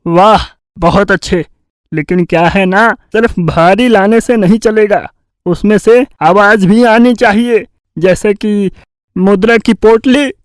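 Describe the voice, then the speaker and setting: A man's voice: high-pitched, slow, dry recording. A man voicing a boastful, scheming, lazy village thief in a Hindi cartoon tale, with a bragging, cajoling delivery. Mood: instructing.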